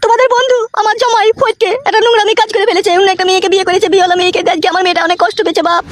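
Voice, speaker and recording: A woman's voice: extremely high pitch, level tone, very fast, slight background noise. A woman voicing a plaintive, insistent middle-aged village mother-in-law in a comic cartoon. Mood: pleading.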